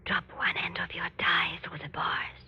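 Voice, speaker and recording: A woman acting a secretive, furtive helper in a hushed whisper, in 1940s radio style. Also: lowish voice, little pitch movement, normal speed, vocal fry, a little hiss or hum, full character voice. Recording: muffled vintage radio recording.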